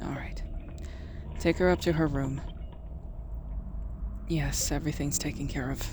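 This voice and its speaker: Woman acting a slow, low-voiced caring witch. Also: fairly even intonation, background noise. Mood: calm, hushed. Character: calm, caring adult female witch, quietly wry. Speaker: voice actress. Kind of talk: ASMR roleplay acting addressed to the listener.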